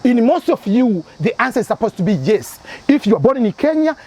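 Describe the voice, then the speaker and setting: A man's voice: very high voice, big pitch swings, brisk, a little mellow. A man from Tanzania, hosting an advice channel and explaining his points through repeated examples. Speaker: immigration advice channel host.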